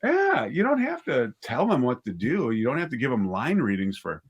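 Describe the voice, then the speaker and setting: A man's voice: mid-range voice, huge pitch swings, normal speed, breathy edge. A man talking casually as an interview guest, a drama director telling anecdotes in a conversational way.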